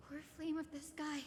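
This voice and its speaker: An actress playing a gentle, awed young woman in a fantasy game, speaking with soft wonder. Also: sits high, big-room sound, a little hiss or hum. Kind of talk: video game voice acting.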